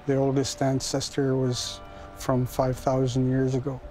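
A man's voice: medium pitch, completely flat delivery, unhurried, dark tone, faint music behind. A man giving a reflective account in an interview. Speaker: local interviewee.

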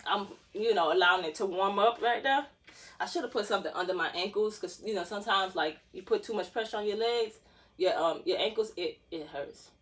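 A woman's voice: medium pitch, fairly quick, a bit bright. A woman, chatting casually and jokingly to camera while stretching in a small room, filmed on a phone set on the floor. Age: 20s. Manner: chatty, joking, lots of laughs and slang. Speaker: dance vlogger.

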